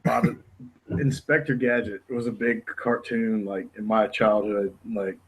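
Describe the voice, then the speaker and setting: Husky-voiced man, laid-back podcast guest. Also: on the low side, steady tone, unhurried, rough recording. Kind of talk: casual podcast chat. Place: Tennessee.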